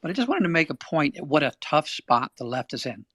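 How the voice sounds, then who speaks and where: A man's voice: a little high, very animated, a little fast, a bit bright, no room sound, studio quality. A man joining a live audio chat to make an opinionated point, arguing it step by step.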